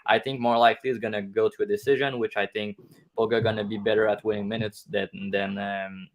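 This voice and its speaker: Man, low voice. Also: little pitch movement, fast talker, poor audio. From Quebec, Canada. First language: French. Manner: explains picks with frequent restarts. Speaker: guest panelist.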